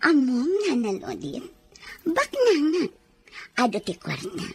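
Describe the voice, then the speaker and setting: A woman's voice: high voice, wildly swooping pitch, slow, slightly rough, no room sound, exaggerated voice. A woman playing a defensive, secretive lady of the house in a drama, with a theatrical, insistent delivery. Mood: scheming, cajoling. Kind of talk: Ilocano radio-style drama acting.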